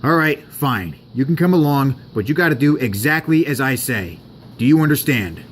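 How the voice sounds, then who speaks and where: A man's voice: medium pitch, expressive, normal speed, a little hiss or hum, natural voice. A man voicing a bossy, protective steam engine leader who is blunt and firm, in a homemade story.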